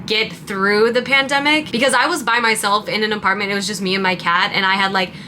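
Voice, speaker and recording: A bright-voiced woman in her late twenties chats in a casual get-ready-with-me vlog, rambling happily from one thought to the next at a middling pitch. Faint background noise sits under her voice. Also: steady tone, a little fast. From Montana, raised in Southern California. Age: 20s. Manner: rambling, chatty and upbeat. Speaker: content creator.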